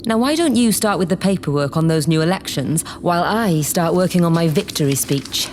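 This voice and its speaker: An actress as a haughty, power-hungry female politician in an audio drama. Her grandiose, condescending delivery sweeps widely in pitch, over faint background noise. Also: animated, fairly quick, a little mellow, natural voice. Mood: grandiose, dismissive. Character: imperious, scheming politician woman plotting to seize power. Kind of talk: theatrical audio drama acting.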